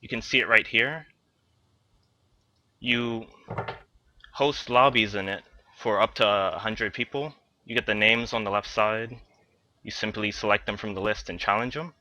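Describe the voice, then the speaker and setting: A man, a game developer, casually walking viewers through software on a livestream, with lots of "you know". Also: lowish voice, measured pace, pauses often, highs cut off. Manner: loose, filler-heavy demo walkthrough, lots of "you know".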